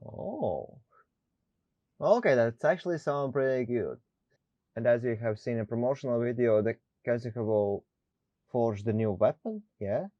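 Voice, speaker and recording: A man's voice: medium pitch, lively intonation, a little slow, dry recording. A man giving casual let's play commentary, with non-native English phrasing, recorded close on a dull microphone. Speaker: gaming YouTuber.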